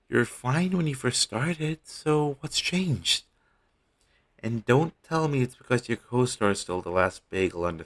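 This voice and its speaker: A man playing a caring, teasing talent manager, coaxing a troubled actor in a one-sided audio roleplay with a low-quality sound. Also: a bit bright, full character voice. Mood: concerned, coaxing. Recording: low-quality amateur recording.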